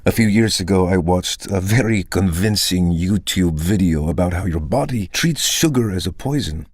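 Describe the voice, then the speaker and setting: An actor playing a self-satisfied, polished tech entrepreneur in an audio comedy play, talking in a measured, self-assured way with a low, husky voice. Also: male voice, darkish tone, no room sound, studio quality.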